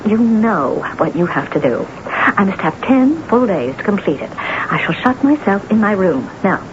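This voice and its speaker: An actress playing a self-absorbed, temperamental, envious novelist in a theatrical, gushing old-time radio drama style. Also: female voice, medium pitch, big pitch swings, moderate pace, lightly acted. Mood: imperious. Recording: dull, noisy old radio broadcast.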